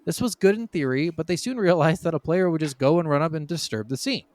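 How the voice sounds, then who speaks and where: A man co-hosting a casual gaming podcast, telling stories from his notes in an animated, chuckling way. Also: a little high, fast. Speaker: podcast co-host.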